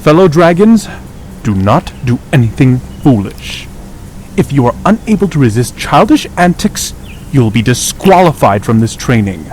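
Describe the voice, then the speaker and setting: Stern male dragon for audio drama, played by a man: growling, formal, commanding, widely swinging pitch, faint noise behind. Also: sing-song, normal speed, darkish tone, natural voice, poor audio.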